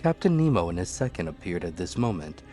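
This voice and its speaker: A man's voice: low voice, very animated, fairly quick, mellow. A man giving a dramatic scripted audiobook narration in the first person. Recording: echoey room, music behind. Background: music.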